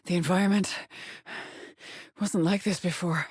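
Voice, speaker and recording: An actress playing a haunted, driven female astronaut in a video game, brooding and introspective in measured solitary log monologues. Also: fairly low pitch, steady tone, a little mellow, husky, dry recording. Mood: tense, introspective. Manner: slow, measured, brooding delivery with pauses.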